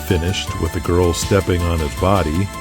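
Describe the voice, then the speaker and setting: A man hosting and narrating an audio drama podcast in a steady, measured storytelling manner. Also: low-pitched. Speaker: narrator and host. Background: music.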